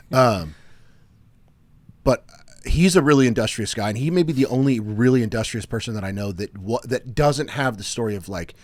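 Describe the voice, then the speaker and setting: A man in his thirties hosting a casual podcast chat, often restarting his sentences and trailing off quietly. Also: mid-range voice, normal speed, dry recording. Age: 30s. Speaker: podcast host.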